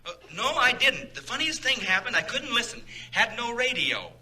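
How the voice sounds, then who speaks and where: A man's voice: very high voice, very animated, normal speed, bright, forceful tone, exaggerated voice. An actor playing a sheepish male sponsor on the phone in a 1940s radio comedy. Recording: noisy old radio, distant phone voice.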